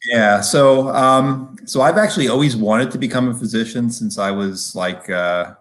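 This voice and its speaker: A middle-aged man, a physician-scientist guest, telling personal stories casually in a rambling, halting way with repeated words. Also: medium pitch. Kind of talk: casual personal storytelling in conversation.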